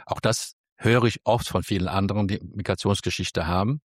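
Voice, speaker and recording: Male guest with Spanish roots; low, measured, cautious delivery, dry studio sound. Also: no echo. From Spain. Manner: careful, measured, with frequent restarts. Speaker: interview guest. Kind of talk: thoughtful interview answers.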